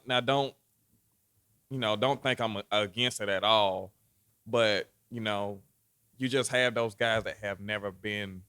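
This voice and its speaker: A man in casual conversation, telling an unhurried story and often saying "you know". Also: mid-range voice, bright, forceful tone, smooth. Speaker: guest. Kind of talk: casual chat.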